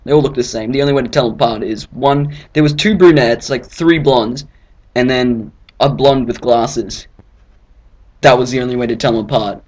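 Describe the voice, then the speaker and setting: A man's voice: medium pitch, normal speed, darkish tone. Rambling, sarcastic young man. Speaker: storyteller. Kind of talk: casual comic storytelling.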